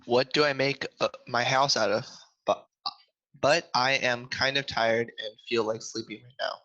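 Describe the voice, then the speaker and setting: A man's voice: medium pitch, fairly even intonation, measured pace, pushed and bright. A man playing little storybook pig brothers in an amateur script read over a video call. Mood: sleepy. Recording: muffled video call audio.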